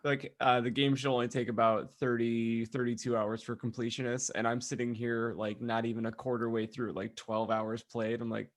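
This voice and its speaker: A man's voice: mid-range voice, flat delivery, normal speed, few pauses. A man co-hosting a casual podcast, telling stories to friends in a rambling, self-deprecating way. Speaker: podcast co-host. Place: remote video call.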